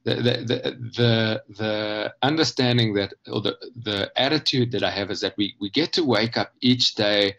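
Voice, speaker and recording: Male interview guest, lower register, reflective and halting, searches for phrasing, dull internet-call recording. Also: creaky, a bit bright. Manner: halting, thoughtful, pausing mid-phrase to rephrase. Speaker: guest, children's book author. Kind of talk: conversational explanation in an online interview.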